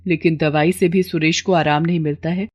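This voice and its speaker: A woman's voice: deep voice, steady tone, brisk, darkish tone. A woman narrating a scripted moral story as a storyteller.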